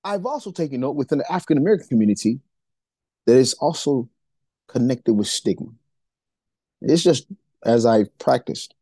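A man from Nigeria co-hosting a faith-based podcast, talking in an animated, preachy way and acting out the voices he quotes. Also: medium pitch, normal speed, long pauses, slightly rough, no room sound. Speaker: podcast co-host.

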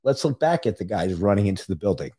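A man's voice: lowish voice, very animated, fast, warm tone, slightly rough. A middle-aged man, a guest on a podcast, telling stories emphatically and chiming in with "right" as he chats casually. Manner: emphatic storytelling, frequent "right". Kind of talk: casual conversation.

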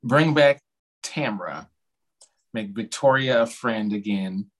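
A man's voice: fairly low pitch, slow pace. A man co-hosting a gossipy chat, speaking casually in blunt, profane, sassy slang. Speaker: podcast co-host. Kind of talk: casual gossipy chat about reality television.

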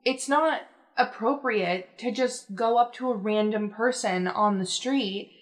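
Young woman explaining calmly. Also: a little high. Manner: explanatory, measured, many fillers. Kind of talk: casual podcast conversation.

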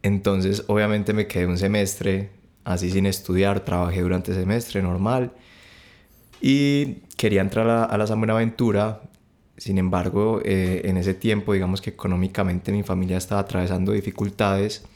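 Deep flat-voiced young Colombian man. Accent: Bogotá Colombian. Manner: halting, many restarts and fillers. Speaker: podcast guest. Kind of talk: relaxed conversational storytelling.

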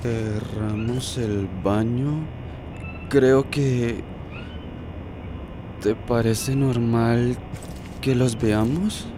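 An actor playing a troubled, soft-hearted police guard in a radio drama, speaking in a hesitant, questioning way. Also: male voice, medium pitch, steady tone, drawn-out words, long pauses, background noise. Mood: pleading concern.

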